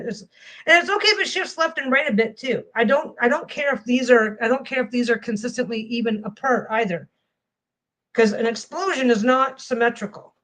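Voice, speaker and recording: A woman's voice: a little high, fairly bright tone, poor audio. A woman vlogging casually as she sews a quilt panel at home, rambling and often restarting her phrases. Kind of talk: casual chat while sewing.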